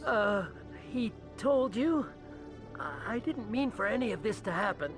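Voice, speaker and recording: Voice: medium pitch, sing-song, measured pace. An actor playing a nervous, evasive character who stammers and turns defensive. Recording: game scene with music.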